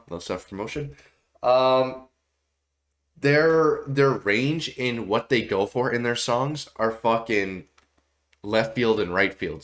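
A young man with a stuffy, nasal voice from a cold, giving excitable, swear-heavy commentary while reacting to songs in a small home room. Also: lowish voice. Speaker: music reaction streamer. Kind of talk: casual music reaction commentary.

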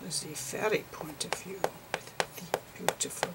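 A woman voicing a gentle, eccentric old male physicist, lecturing haltingly in a murmured ASMR role-play. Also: fairly low pitch, heavy background noise, natural voice. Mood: whimsical, explaining. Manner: halting, whispery, murmured explanations with quaint formal phrasing.

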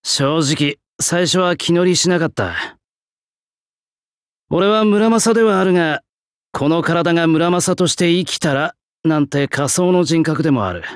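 A gruff game swordsmith who looks young and talks like a crusty old craftsman. His fairly high male voice moves with lively swings, unhurried and blunt. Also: no echo, clean studio sound. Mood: gruff, reflective. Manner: blunt, rough old-fashioned artisan speech. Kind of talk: Japanese game character voice lines.

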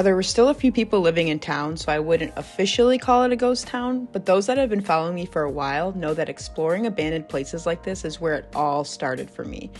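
Lady doing a laid-back road trip voiceover, kinda low voice, soft music behind. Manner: enthusiastic, easygoing storytelling. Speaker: travel vlogger. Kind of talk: voice-over narration of a road trip. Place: voice-over recorded apart from the scenes.

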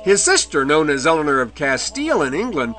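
Bright-voiced male history lecturer. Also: fairly high pitch, big pitch swings. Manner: measured, with wry asides. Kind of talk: illustrated history lecture narration. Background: faint medieval music.

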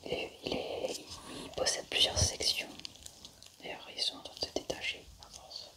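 Young woman whispering asmr, playing a scientist, slow careful step by step, close to the mic. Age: young adult. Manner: hushed, unhurried, methodical narration of each step. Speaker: ASMR creator playing an alien autopsy scientist.

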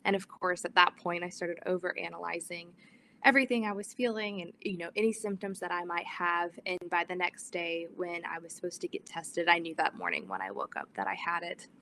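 Young woman, earnest panel talk, lowish voice, faint background hum, video call. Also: fairly quick, bright, forceful tone. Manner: earnest, some fillers. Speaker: nursing student.

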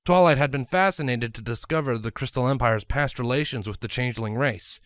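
A man's voice: mid-range voice, a little fast, a bit bright, dry recording, clean studio sound. A man reading scripted story narration as a storyteller.

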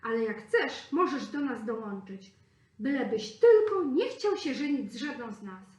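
A woman's voice: high-pitched, lively intonation, moderate pace, a little mellow. A woman voicing blunt, dismissive wild ducks. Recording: echoey room.

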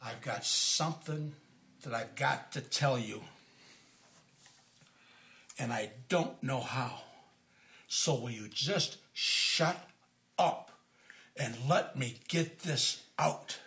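An actor in his sixties playing an earnest, guilt-ridden older American man, halting and hesitant, in naturalistic film dialogue. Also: medium pitch, gravelly, bright, forceful tone, heavily acted. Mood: hesitant, confessing. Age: 60s.

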